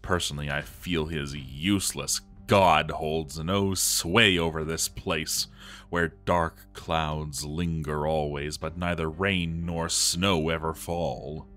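A man's voice: rumbling low, fairly even intonation, slow, fairly bright tone, soft background music, heavily acted. A man voicing a despairing dwarf armorer who is slipping into madness, reading his journal in a grave, theatrical way. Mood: bleak despair.

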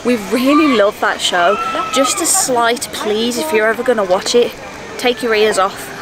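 A woman's voice: a little high, wildly swooping pitch, unhurried, loud music behind the voice, a loud crowd, rough recording. A woman vlogging in a casual, enthusiastic way as she talks to her viewers while walking through a theme park. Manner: chatty and enthusiastic, talking to viewers. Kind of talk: casual travel vlog chat. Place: outdoors in a busy theme park. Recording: loud outdoor park noise.

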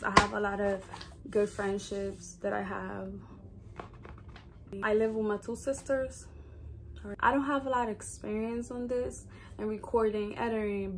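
A woman's voice: medium pitch, a little hiss or hum, low-quality recording. A woman in her early twenties with a Dominican accent, a native Spanish speaker, chatting informally to camera as a vlogger. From Dominican Republic. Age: early 20s. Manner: chatty, informal, conversational asides. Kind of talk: casual get-to-know-me vlog talk to camera.

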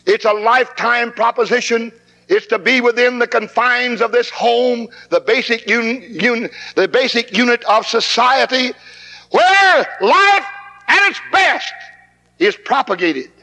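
A man's voice: very high voice, measured pace, bright, forceful tone. A man preaching a 1960s camp meeting sermon, fervent and emphatic, recorded on old tape in a hall.

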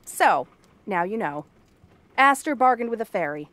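A woman's voice: medium pitch, big pitch swings, moderate pace, a bit bright, dry recording. Haughty, bratty cursed frog princess played by a woman. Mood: scornful. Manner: haughty, sarcastic, theatrical. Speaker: voice actress. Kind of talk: ASMR fantasy roleplay monologue.

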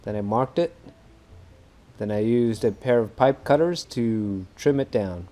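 A man's voice: medium pitch, lively intonation, a little slow, mellow. Laid-back guy in his 30s explaining his boat rigging on action cam, chuckles at himself, light music behind. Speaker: fishing video host. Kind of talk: casual how-to narration. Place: on a docked boat outdoors.

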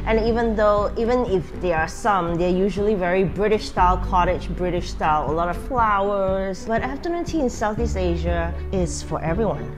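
A woman from Malaysia who owns a tea restaurant, speaking earnestly in an interview in her dining room. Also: lowish voice. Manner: conversational, earnest. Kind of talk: interview testimonial.

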